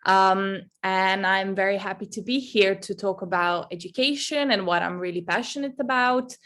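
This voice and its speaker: A woman's voice: mid-range voice, moderate pace, fairly bright tone. Nineteen-year-old woman. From Serbia. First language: Serbian. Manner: conversational, self-correcting. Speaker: youth advocate and student speaker. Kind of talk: informal personal talk at an online forum.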